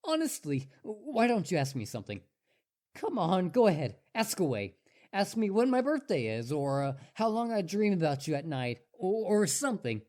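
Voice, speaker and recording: A performer voicing a clingy, excitable young wolf boy. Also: mid-range voice, moderate pace, lightly acted. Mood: eager, boastful. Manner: stammering, eager, earnest, over-devoted. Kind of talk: roleplay audio drama monologue to listener.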